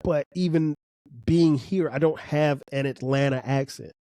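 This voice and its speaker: A man's voice: fairly high pitch, steady tone, a little slow, dark tone, dry recording. A man from South Carolina with a South Carolina accent and a slightly nasal voice, rambling casually through a solo podcast with lots of fillers and restarts. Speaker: podcast host.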